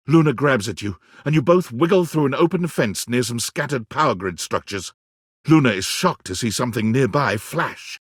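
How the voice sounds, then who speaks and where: Male narrator, husky, animated intonation, dramatic scripted delivery, theatrical, deliberate, video game storytelling. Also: medium pitch, no echo, studio quality.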